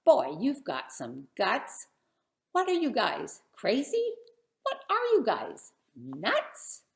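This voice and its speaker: Woman playing a brash young man, incredulous, lively pitch, gravelly, roomy. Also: slow pace, exaggerated voice. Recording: roomy echo.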